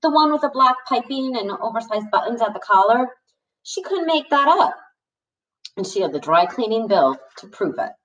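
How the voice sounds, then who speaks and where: A woman's voice: fairly high pitch, sing-song, moderate pace, fairly bright tone, lo-fi sound. A woman reading a novel aloud expressively as a storyteller. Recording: echoey room, distant from the mic.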